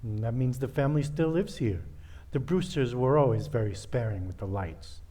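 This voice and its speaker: A man acts a sinister long-lost nephew in radio theater. His voice is medium in pitch, and he speaks in a commanding, insistent, domineering way. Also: normal speed, mellow, close to an everyday voice. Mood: ominous. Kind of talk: old-time radio comedy acting.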